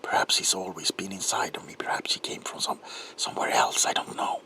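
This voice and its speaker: A man acting a low-voiced, haunted, guilt-ridden doctor. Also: steady tone, sharp tone. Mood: anguished denial. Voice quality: breathy, often dropping into whisper. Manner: halting, haunted, intense, broken phrases. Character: anguished, guilt-ridden doctor confessing to his monstrous alter ego. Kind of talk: ASMR dramatic roleplay monologue.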